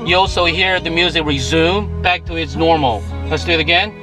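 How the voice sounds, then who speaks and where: A man in his forties giving a halting, repetitive product demonstration as presenter and seller. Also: on the high side, poor audio. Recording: music playing behind the voice. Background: music.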